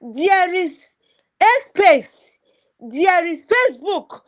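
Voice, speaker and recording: A woman's voice: very high-pitched, animated, very slow, lo-fi sound. A woman with a Nigerian accent, English not her first language, ranting passionately in a political livestream, repeating herself with many "eh" fillers. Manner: repetitive, emphatic, heavy filler "eh". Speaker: activist livestreamer. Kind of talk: impassioned political rant.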